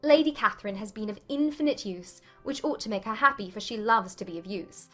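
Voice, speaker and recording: A woman playing a witty, spirited young gentlewoman in a dramatized period romance audiobook, with a playful, articulate manner. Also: mid-range voice, a little fast, soft background music. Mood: playful, teasing.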